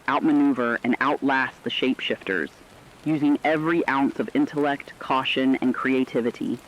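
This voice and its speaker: A man reading scripted dramatic narration in a serious, deliberate storytelling manner. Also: medium pitch, dull audio.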